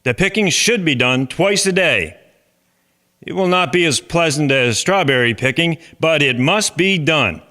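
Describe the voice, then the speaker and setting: A man's voice: on the high side, expressive, a little slow, sharp tone. A man playing an earnest chairman of a farmers' agricultural society in a historical drama, speaking formally and instructively.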